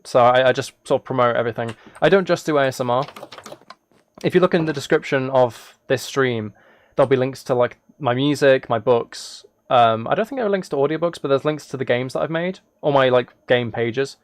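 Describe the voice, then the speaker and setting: A man's voice: mid-range voice, brisk, dry recording. A man chatting casually on a livestream as he plays a game, rambling and thinking aloud. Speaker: live streamer. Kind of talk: casual livestream chat while gaming, reading chat.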